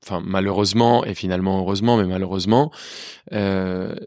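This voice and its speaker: A man in his thirties with a low voice and a faint Colombian Spanish accent, speaking his second language fluently. He tells his story in a lively, candid way, stumbling and restarting often. The sound is close and dry, with no room echo. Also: brisk. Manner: lively, many restarts and hesitations. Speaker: chef guest.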